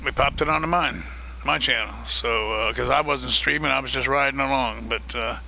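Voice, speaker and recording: A man hosting a live stream of a multiplayer farming game, chatting casually and greeting viewers by name, his voice getting a little hoarse. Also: medium pitch, moderate pace, pushed and bright, a little hiss or hum, rough recording. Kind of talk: casual live-stream chat while gaming.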